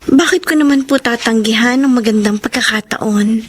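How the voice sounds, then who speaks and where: A woman's voice: on the high side, moderate pace, close to an everyday voice. An actress as a subdued, polite young mother. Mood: grateful. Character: resigned, deferential young mother needing a fresh start. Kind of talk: Filipino radio drama acting.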